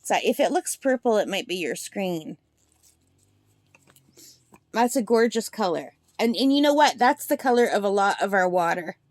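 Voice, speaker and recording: A woman with a slightly gravelly voice chatting and gushing as she swatches paints in a casual demo at her craft table. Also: mid-range voice, expressive, moderate pace, long pauses, no echo. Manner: chatty and gushing, thinks aloud. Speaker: art supply reviewer.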